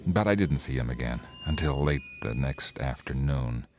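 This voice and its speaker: An actor playing an anxious motorist who narrates his drive in an old-time radio drama, intense and halting as his nerves rise. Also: very deep voice, lively intonation, a little mellow, a little hiss or hum. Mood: brooding. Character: haunted, anxious male motorist recounting his cross-country drive. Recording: vintage radio recording with music underneath.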